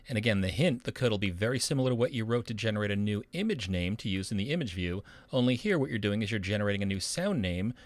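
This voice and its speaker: A man's voice: fairly low pitch, no echo. A man narrating a coding tutorial as an instructor, in an upbeat, encouraging, instructional manner. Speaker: programming instructor, university professor.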